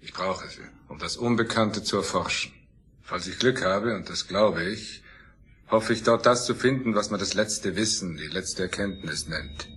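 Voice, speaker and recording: A man playing an imperious, obsessed scientist and starship commander in a radio play, speaking in a grandiose, deliberate way with a husky voice. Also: sits low, fairly bright tone, full character voice. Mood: visionary. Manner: grandiose, deliberate, self-assured.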